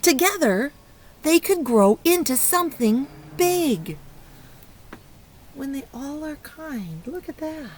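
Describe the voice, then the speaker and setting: A woman teacher reading a picture book aloud to young children, stopping to ask questions and encourage them in an expressive way. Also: sits high, takes it slowly. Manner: encouraging, praising child listeners; expressive, exclaiming and prompting. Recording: clear background noise.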